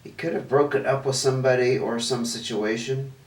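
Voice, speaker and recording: A man's voice: medium pitch, steady tone, brisk. A man hosting his own channel, giving a casual tarot reading to camera, chatty and thinking aloud while he lays out cards, a bit stuffy and sniffling. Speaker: tarot reader, channel host. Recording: roomy, distant mic, faint noise.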